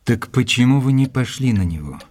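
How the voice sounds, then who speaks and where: An actor playing an earnest doctor who narrates his investigation in an audio drama, with a measured, probing delivery. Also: mid-range voice, animated, darkish tone, no room sound, close to an everyday voice. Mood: insistent questioning.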